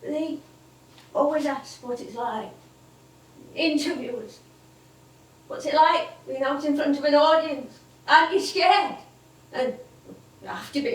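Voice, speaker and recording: An actress playing an older English music-hall comedienne on stage, wistful and chatty, reminiscing in a rambling way. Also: female voice, high voice. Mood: tender, reflective. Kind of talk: theatrical stage monologue and dialogue. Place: theatre stage. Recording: echoey stage, distant microphone.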